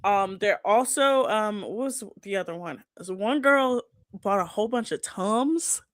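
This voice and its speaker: A woman's voice: mid-range voice, animated, a little slow, no room sound. Us woman podcast host, mid voice, gossipy casual chat, really dry audio.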